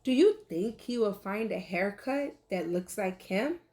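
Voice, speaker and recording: A woman reading a picture book aloud like a teacher, with animated, deliberate delivery and questions for young listeners. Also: mid-range voice. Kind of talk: expressive children's read-aloud with questions to listeners.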